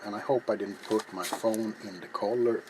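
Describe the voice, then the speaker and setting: A middle-aged man speaking softly in ASMR style as he demonstrates a craft, halting and often slipping into a whisper. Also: fairly low pitch, steady tone, very noisy. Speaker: ASMR video maker and host. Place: small cluttered indoor workshop.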